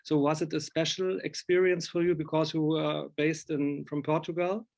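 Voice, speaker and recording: A man's voice: a little high, little pitch movement, normal speed, gravelly, darkish tone. Hesitant middle-aged man on video call. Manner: hesitant, searching for words. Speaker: journalist and magazine editor. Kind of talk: halting interview questions. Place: indoor room, video call.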